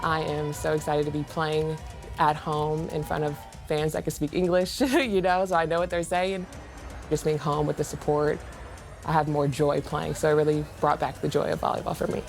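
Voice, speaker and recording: Excited, gravelly volleyball player woman. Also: sits low, moderate pace, soft background music. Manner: excited, laughing. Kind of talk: interview soundbite.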